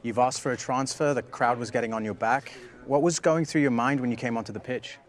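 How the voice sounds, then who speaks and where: A man playing a sports reporter asking quick, professional post-match questions in a game. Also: medium pitch, natural voice.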